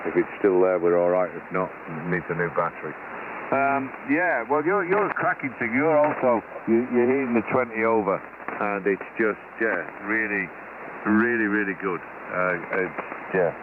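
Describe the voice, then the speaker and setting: An Australian man chatting casually as an amateur radio operator, rambling a little and pausing, over a radio transmission. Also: on the low side, lively intonation. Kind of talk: casual ham radio chat. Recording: narrow radio signal, loud static noise. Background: loud radio static and hum.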